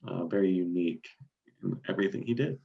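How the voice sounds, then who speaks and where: A man's voice: sits low, fast talker, vocal fry. A man co-hosting a music show, chatting casually, heard through a dull, lo-fi recording. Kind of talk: casual chat. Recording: dull, lo-fi sound.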